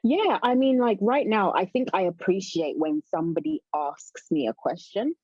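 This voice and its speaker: A woman from Zambia, a guest talking reflectively about her life and business in a relaxed interview. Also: mid-range voice, normal speed, no echo, muffled recording. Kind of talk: casual conversational interview.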